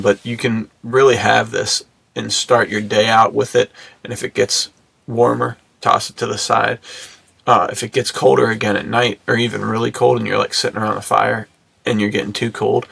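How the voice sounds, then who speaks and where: A man's voice: on the low side, fairly even intonation, normal speed, gravelly, fairly bright tone, slightly rough, no echo. A man co-hosting a podcast in casual, slangy, bro-ish chat with an enthusiastic manner. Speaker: podcast co-host. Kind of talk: casual podcast chat about film and menswear.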